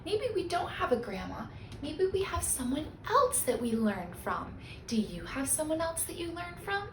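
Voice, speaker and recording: High-pitched, expressive woman reading to children. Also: moderate pace, roomy sound, distant mic, a little hiss or hum. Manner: simple questions and encouragement addressed to small children. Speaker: children's story-time reader. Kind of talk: expressive read-aloud for young children.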